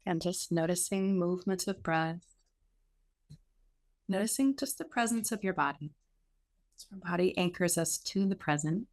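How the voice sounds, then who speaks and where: Woman leading a guided meditation, slightly low voice, soothing and unhurried, short phrases w pauses. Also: expressive, darkish tone. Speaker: meditation teacher. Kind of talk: guided meditation, gentle spoken instruction.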